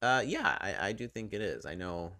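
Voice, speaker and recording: A man's voice: on the low side, expressive, normal speed, pushed and bright, no room sound, clean studio sound. A man giving a casual, conversational video game review in an informal, wry way.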